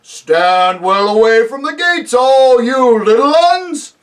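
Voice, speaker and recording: A reader voicing a huge, good-natured, dim male giant with booming, slow, rustic speech in a storybook. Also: expressive, distant mic. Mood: humble, eager.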